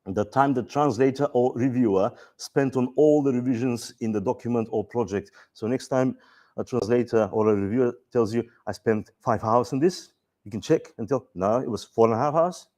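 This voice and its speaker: A man with a Bulgarian accent giving a conference presentation on software features, relaxed and dryly humorous, with frequent fillers and restarts. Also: medium pitch, normal speed.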